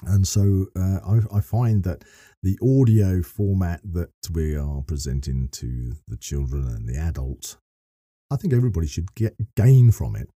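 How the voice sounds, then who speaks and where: A middle-aged man with a very low, dark and slightly husky voice talks on a podcast. He explains things earnestly and at length, often rephrasing as he goes. The sound is close and dry. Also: expressive, moderate pace, no room sound. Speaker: podcast guest, voice actor and narrator.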